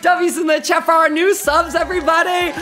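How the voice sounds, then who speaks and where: High-pitched, animated young male livestreamer. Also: brisk. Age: 20s. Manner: animated, effusive, campy. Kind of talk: hyped casual chat to live viewers. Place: small bedroom streaming setup, indoors.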